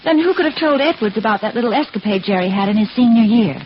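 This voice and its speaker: A woman's voice: a little high, lively intonation, fast, lightly acted. An actress playing a detective's eager, fond female assistant with an American accent, in a 1940s-style radio drama. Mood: curious, teasing. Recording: dull, faintly noisy old radio recording.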